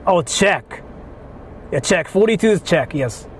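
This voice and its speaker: A young Japanese man speaks English with a Japanese accent, casually and excitably, swearing freely as he chats with his viewers. His voice sits high and leaps all over the place. Loud street traffic runs behind him. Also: measured pace. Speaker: streamer. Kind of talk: casual live-stream chat. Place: outdoors on city streets.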